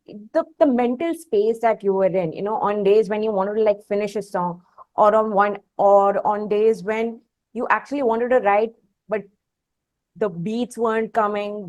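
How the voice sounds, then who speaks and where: A woman with an Indian accent hosting a conversational music interview, phrasing her questions in a hesitant, pausing way. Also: mid-range voice, darkish tone. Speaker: interview host.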